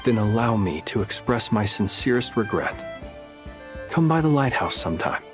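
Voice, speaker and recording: Fairly low voice, an unflappable lighthouse keeper who teases with dry wit. He speaks in a measured, unhurried way for a game cutscene, with faint music behind. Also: very animated. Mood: teasing. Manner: wry, dry humor, unhurried. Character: calm, teasing male lighthouse keeper, unflappable. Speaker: game character.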